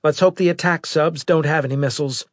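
A man's voice: a little high, steady tone, fast talker, no echo, clean studio sound, pitched above the performer's own voice, lightly acted. A man voicing a tense, alert female crew member in a sci-fi audiobook, speaking bluntly and urgently. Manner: urgent, blunt reporting of danger. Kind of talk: audiobook character acting.

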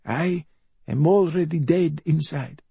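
Audiobook role: bedridden old Italian mother, played by a man, raised raspy voice, gloomy, scolding and stubborn. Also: a little high, slow pace, mellow, no echo, pitched above the performer's own voice, heavily acted. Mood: morbid, self-pitying.

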